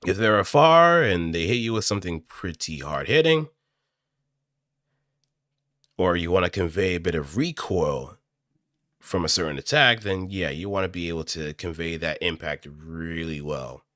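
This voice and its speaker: A man talking casually and off the cuff as he explains voice acting, thinking aloud in an animated, demonstrative way. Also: sits low, moderate pace, no echo, clean studio sound. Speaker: amateur voice actor. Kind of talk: casual explanatory talk, improvised voice acting tutorial. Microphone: close studio microphone through audio interface.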